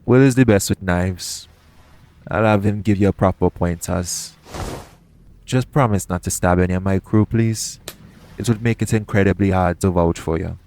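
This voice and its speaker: A man playing a roguish, caring pirate captain in an intimate audio roleplay, speaking in a soothing, gently teasing manner. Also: sits low, moderate pace, slight background noise. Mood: fond, easygoing.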